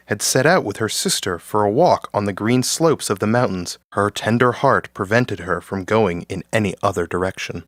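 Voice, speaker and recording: A man's voice: lowish voice, normal speed, hardly any pauses, fairly bright tone, dry recording, studio quality. A man narrating a scripted story as the narrator of an audio drama.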